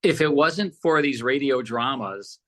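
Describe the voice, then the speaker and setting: A man's voice: medium pitch, lively intonation, measured pace, fairly bright tone. A middle-aged American man answering casually as an interview guest, earnest and emphatic, often repeating his phrases. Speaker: podcast guest, radio host and producer.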